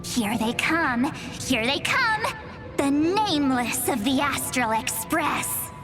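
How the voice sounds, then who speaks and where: A very high, girlish game heroine, theatrical and playful. She delivers her lines slowly, with a flair for drama. Loud music plays behind her. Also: very animated, exaggerated voice. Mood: mock excitement. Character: playful young woman posing as solemn time chronicler. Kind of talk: video game voice acting.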